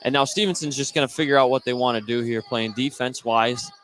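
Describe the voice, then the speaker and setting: A man's voice: mid-range voice, fast, fairly bright tone. A man doing live play-by-play radio commentary of a basketball game.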